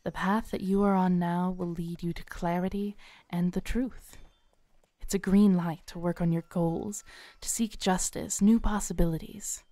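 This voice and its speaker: Knowing female tarot reader, acted by a woman, audio roleplay; soothing, very slow delivery, dry close recording. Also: on the low side, no echo. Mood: solemn, encouraging. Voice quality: smooth, soft. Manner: unhurried, soothing, theatrical, with dramatic pauses. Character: kindly, playful, knowing Renaissance faire tarot reader woman. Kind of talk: soft-spoken audio roleplay addressed to the listener. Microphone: close studio mic, dry.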